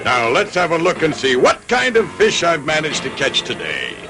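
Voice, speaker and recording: Gluttonous cartoon sea ogre: high, gravelly, mock-kindly and theatrical, music behind. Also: male voice, huge pitch swings, normal speed. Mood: gloating, curious. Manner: theatrical, drawling, falsely courteous with muttered exclamations. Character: monstrous green fisherman, gloating, mock-kindly, gluttonous. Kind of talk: dubbed cartoon acting.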